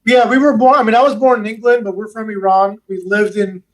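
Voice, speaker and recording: A man in his forties with a notably high voice, talking in a relaxed, chatty way as a podcast guest. He tells stories easily and sits a little back from the microphone. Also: brisk, distant mic, low-quality recording. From West Los Angeles. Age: 40s. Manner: easygoing, chatty storyteller. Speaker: guest, real estate investment advisor. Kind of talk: casual podcast interview.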